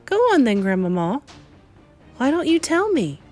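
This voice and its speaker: An excitable young mouse boy from a children's storybook, acted out by a woman. The voice sits high and swings about in pitch with earnest, inquisitive energy. Faint music plays behind it. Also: moderate pace. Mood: curious, pleading.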